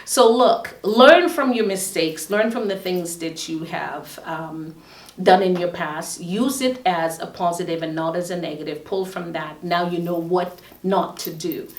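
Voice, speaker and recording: A middle-aged woman host with a fairly low voice talks earnestly about herself and urges listeners to follow their dreams. Her voice is heard from a distance in a roomy, echoing space. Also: a little slow. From Guyana. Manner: earnest, motivational advice-giving. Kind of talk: casual self-introduction. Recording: roomy sound from a distant mic.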